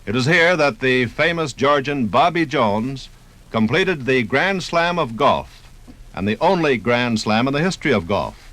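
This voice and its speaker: A man's voice: mid-range voice, expressive, a little slow, a bit bright. An American man narrating and interviewing in scripted 1950s radio style.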